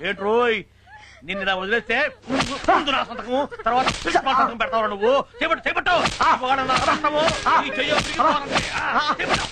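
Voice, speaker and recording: A man's voice: very high-pitched, lively intonation, fast, very noisy. A man playing a bullying, easily outraged village schoolteacher, scolding and threatening, often repeating his words in comic film style. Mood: angry.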